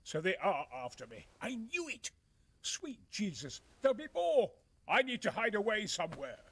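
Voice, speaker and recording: An actor playing a nervous, middle-aged military engineer and former crusader in a video game, speaking earnestly in formal, old-fashioned phrasing. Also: male voice, sits high, huge pitch swings, normal speed, a bit bright, full character voice. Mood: panicked. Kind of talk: dramatic video game acting.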